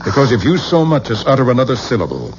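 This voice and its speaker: Cold, officious male authority figure, radio play, dull sound with clear noise. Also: mid-range voice, wildly swooping pitch, quick pace. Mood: cold, threatening. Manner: curt, officious, threatening. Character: stern, cold male official dismissing a visitor. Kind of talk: 1970s American radio drama acting. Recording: muffled old radio broadcast, clear noise.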